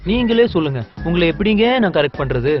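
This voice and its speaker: A woman's voice: lowish voice, expressive, fast talker, muffled recording, over music, lightly acted. A woman acting an exasperated, pleading lover in film dialogue.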